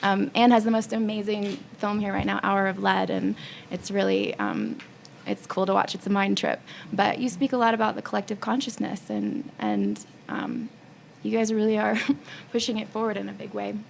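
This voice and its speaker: Female moderator, effusive and conversational, gravelly creak, faint noise behind her. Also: mid-range voice, moderate pace. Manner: effusive, affirming, informal. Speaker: panel host.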